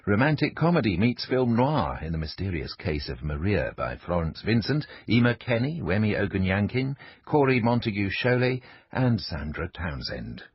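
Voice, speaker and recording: A man's voice: lowish voice, sing-song, moderate pace, lo-fi sound. A man announcing a radio programme in a scripted introduction.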